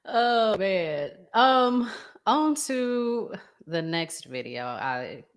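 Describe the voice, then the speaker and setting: A woman with a Southern American accent hosting a casual talk show, chatty and prone to pausing and restarting. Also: medium pitch, big pitch swings. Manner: chatty, pauses and restarts a lot. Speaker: show host. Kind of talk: casual podcast chat.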